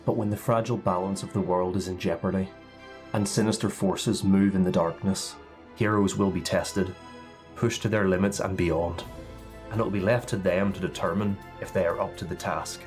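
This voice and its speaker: A man's voice: sits low, steady tone, normal speed, darkish tone. A man narrating a dramatic fantasy trailer for a podcast promo. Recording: music bed behind the voice.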